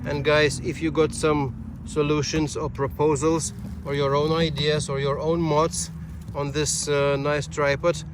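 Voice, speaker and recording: A man giving a casual outdoor gear demonstration as a video host, halting as he thinks aloud. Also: a little high, little pitch movement, noisy background. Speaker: amateur radio video host. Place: outdoors on a bushy hilltop.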